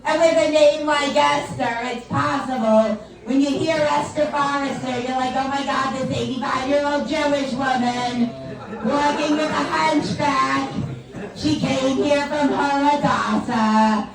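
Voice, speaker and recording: A woman's voice: sits high, fairly even intonation, takes it slowly, creaky, distant mic. A female stand-up comedian from the tri-state area with a voice that sounds older than she is, teasing the crowd in a chatty, self-mocking way. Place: comedy club or venue. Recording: echoey club, mic from afar, audience noise. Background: audience laughing and chatting.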